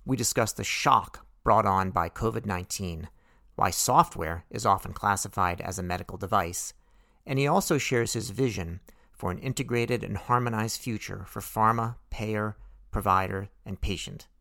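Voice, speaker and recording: A man's voice: fairly low pitch, normal speed, vocal fry, pushed and bright. A man hosting a business podcast, reading an intro and then interviewing in a friendly, joking way. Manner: friendly, joking, some restarts. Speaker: podcast host. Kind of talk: casual interview with scripted intro.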